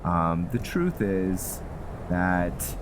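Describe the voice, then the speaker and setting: A man giving unscripted game commentary, thinking aloud in a halting, musing way. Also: low voice, big pitch swings, noisy background. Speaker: roleplay gamer, series host.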